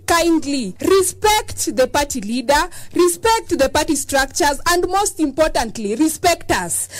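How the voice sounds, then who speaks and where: A woman speaks for a party youth wing in a high voice, making a pressing, pleading appeal. The recording is dry and close. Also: no room sound. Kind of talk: press statement.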